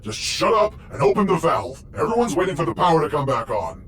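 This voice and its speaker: A man's voice: fairly high pitch, very animated, fairly quick, gravelly, crowd noise. A man playing a brash, dismissive henchman guard in a video game. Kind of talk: video game cutscene acting. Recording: game music behind the voice.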